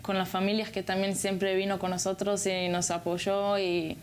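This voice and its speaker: A young woman from Israel gives interview answers in a language that is not her first. She hesitates and searches for words. Her pitch is average for a woman, with slight background noise. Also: little pitch movement, moderate pace. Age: young adult. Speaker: guest, former volunteer.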